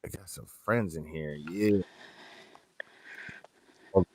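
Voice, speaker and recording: A man's voice: rumbling low, takes it slowly, warm tone, slight background noise. A young man hosting a livestream, talking casually and rambling, repeating himself and swearing now and then. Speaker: livestream host.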